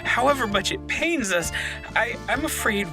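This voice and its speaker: A man's voice: extremely high pitch, expressive, normal speed, music in the background. A man playing a timid, polite, flustered candle maker in a comic audio play, stammering deferentially. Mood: timidly polite.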